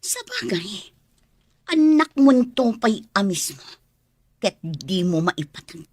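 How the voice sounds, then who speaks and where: A woman's voice: mid-range voice, very animated, takes it slowly, darkish tone, slightly rough, dry recording, clean studio sound, heavily acted. An actress playing an indignant, confrontational mother facing her rival in an Ilocano radio drama, with an Ilocano accent and accusing delivery. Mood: indignant, scornful.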